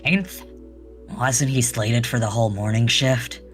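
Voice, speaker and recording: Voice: medium pitch, a little slow, faint music behind, close to an everyday voice. A person voicing a polite, erudite scientist with a courteous, formal manner in a sci-fi visual novel. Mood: brisk, curious.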